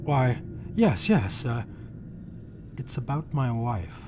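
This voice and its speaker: An actor playing a panicked, guilty husband in 1940s radio horror style, melodramatic, stammering and dropping into breathless whispers. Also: male voice, medium pitch, sing-song, slow, background noise. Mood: nervous, evasive. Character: panicked guilty husband confessing his crime. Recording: old 1940s radio broadcast, loud music behind.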